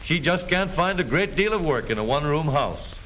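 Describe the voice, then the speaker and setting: Male American 1930s newsreel narrator, jaunty and animated, on an old hissy film soundtrack. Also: fairly high pitch, brisk, low-quality recording. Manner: jaunty, folksy showmanship.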